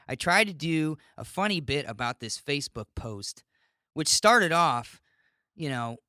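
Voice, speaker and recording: A man's voice: medium pitch, sharp tone, no room sound, studio quality. A man talking casually and bluntly as a podcast host, rambling and swearing freely through a monologue.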